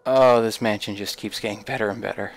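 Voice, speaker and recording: Male gamer, low and creaky register, wry, uneasy horror playthrough commentary. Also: steady tone, brisk, faint music behind. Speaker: let's play gamer. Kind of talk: casual horror game commentary, some reading aloud.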